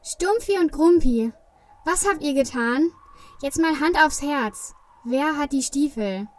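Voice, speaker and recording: A woman's voice: high voice, animated, takes it slowly, far from the mic. A woman playing a concerned, busy little Christmas elf in a children's audio play. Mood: stern.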